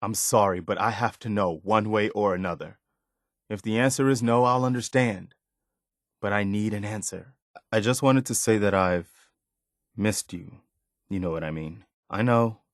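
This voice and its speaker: An actor playing an earnest, loyal, plain-spoken militia man in a video game, sincere and measured. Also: on the low side, no room sound, clean studio sound, natural voice. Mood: hesitant, vulnerable. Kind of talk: naturalistic video game dialogue.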